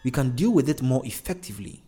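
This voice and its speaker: A man's voice: medium pitch, big pitch swings, quick pace, mellow, faint music behind, natural voice. A man voicing a decisive, reproachful Nigerian woman, a younger sister, in an audiobook. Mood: judging, resolved.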